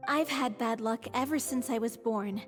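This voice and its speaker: A woman voicing a sickly, unlucky young actress in a video game, soft and resigned. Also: on the high side, normal speed, natural voice. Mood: wistful. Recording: reverberant game audio with music.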